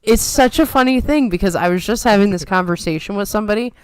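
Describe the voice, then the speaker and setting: Smooth chatty woman with a New York lilt. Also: medium pitch, quick pace, darkish tone, no room sound, low-quality recording. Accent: softened New York. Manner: chatty, playful, trained radio delivery. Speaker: podcast co-host. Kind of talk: casual chat.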